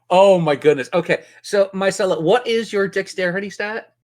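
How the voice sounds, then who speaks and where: A man's voice: high-pitched, animated, unhurried, darkish tone. A man running a tabletop role-playing game as game master, telling the story in a descriptive, theatrical way.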